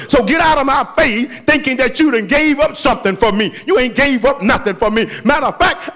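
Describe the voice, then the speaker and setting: A male preacher with a very high-pitched voice delivers a fiery sermon. He exhorts in plain colloquial speech, hammering phrases through repetition and moving between scripture and his own emphatic retellings. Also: fairly bright tone. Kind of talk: fiery sermon with scripture readings and acted quotes.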